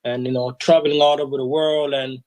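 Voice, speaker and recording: A man's voice: mid-range voice, little pitch movement, a little fast, a little mellow, dry recording, rough recording. Earnest man, dry close sound. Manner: earnest, reflective, frequent "you know". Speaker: interview guest, sound system selector. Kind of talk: casual interview.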